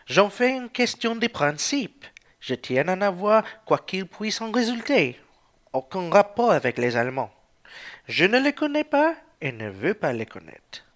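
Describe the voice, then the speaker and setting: A man's voice: high-pitched, big pitch swings, a little slow, a bit bright, heavily acted. A man playing a stubborn, principled traveller in an amateur radio play, emphatic and proudly defiant.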